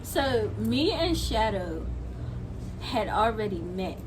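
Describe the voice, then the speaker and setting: A young American woman talks casually in a medium-pitched voice. Her delivery is slangy and halting, like a friend giving her side. Clear background noise runs behind her. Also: sing-song. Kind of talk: casual chat.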